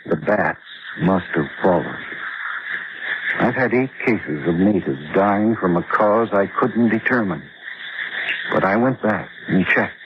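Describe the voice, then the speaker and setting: An actor playing a grave, knowing doctor at a jungle outpost in an old radio horror drama, explaining in a measured, serious way. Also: male voice, on the low side, natural voice. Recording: noisy, muffled old radio drama recording.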